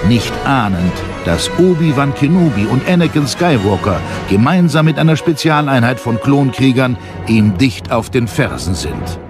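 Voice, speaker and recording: A man narrating a space war audio drama in a solemn, measured, dramatic way. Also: medium pitch, lightly acted. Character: grave storyteller of a space war saga.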